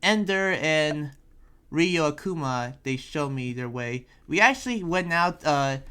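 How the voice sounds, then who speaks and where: A man's voice: fairly high pitch, lively intonation, a little slow, bright, forceful tone, smooth. Man playing a rambling, earnest young town preacher. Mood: explaining, conversational. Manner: rambling, explanatory, hesitant phrasing. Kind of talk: improvised anime Minecraft roleplay. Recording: background music behind the voice.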